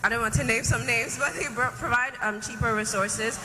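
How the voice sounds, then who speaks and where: A woman's voice: fairly high pitch, steady tone, brisk, sharp tone. An eighteen-year-old woman from the audience asking a question, earnest and a little hesitant. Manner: earnest, hesitant, many likes. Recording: noisy hall with long reverb.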